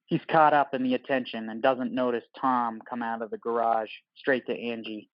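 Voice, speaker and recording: A man's voice: mid-range voice, flat delivery, moderate pace, no room sound, highs cut off. Dry, clipped male narrator. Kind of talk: scripted narration of screenplay directions.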